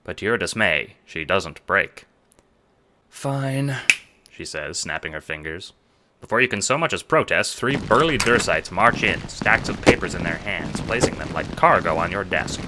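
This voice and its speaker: A man narrating a fan audio drama in a dramatic, measured noir style. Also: deep voice, animated, heavy background noise. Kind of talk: second-person noir narration.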